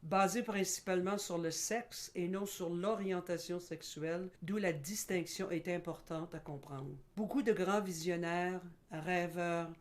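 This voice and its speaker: Middle-aged woman, low warm timbre, measured presenter pacing. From Quebec. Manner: slow, measured, with frequent pauses and fillers. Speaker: guest speaker. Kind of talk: webinar presentation; scripted narration in the video part.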